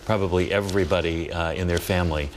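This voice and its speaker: Male morning show host, American, low voice, conversational on-air interviewing. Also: steady tone, fast, a little hiss or hum.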